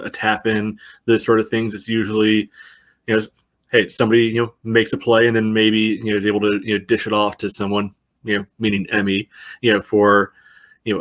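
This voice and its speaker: A man co-hosting a podcast, casually analysing sport in halting speech peppered with "you know". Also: on the low side, fairly bright tone. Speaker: podcast co-host.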